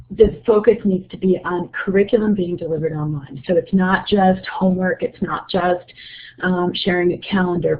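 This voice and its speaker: A woman with a slight cold giving an explanatory, measured webinar presentation as a presenter. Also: on the low side. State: getting over a cold, slightly low voice. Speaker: presenter, report co-author. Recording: narrow muffled phone-like line.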